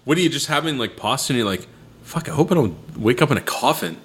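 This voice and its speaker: A laid-back man co-hosting a casual podcast. His pitch moves a lot, rising and falling as he banters, and he swears casually. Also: animated, fast talker, fairly bright tone. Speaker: podcast co-host. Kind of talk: casual chat.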